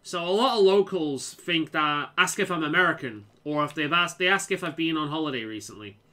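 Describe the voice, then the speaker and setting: A man's voice: fairly high pitch, brisk, sharp tone. A man from England with a mild Liverpool accent, chatting casually while playing a game, often repeating words and restarting sentences. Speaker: game streamer.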